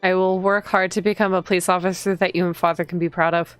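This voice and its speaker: Young noblewoman heroine, read by a female streamer, dramatic and shaken visual novel narration, lowish clear voice. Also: level tone, quick pace, soft background music, lightly acted. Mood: earnest. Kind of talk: dramatic first-person visual novel reading.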